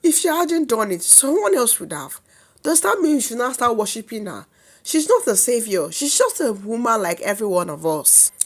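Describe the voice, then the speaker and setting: A woman's voice: fairly high pitch, big pitch swings, normal speed. A woman with a Nigerian accent voicing a spiteful, jealous village gossip in a folktale, scornful and mocking. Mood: dismissive, mocking.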